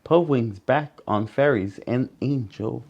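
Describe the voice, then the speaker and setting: A man reading a picture book aloud to children as a storyteller, with dramatic pauses and asides to his young listeners. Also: medium pitch, lo-fi sound.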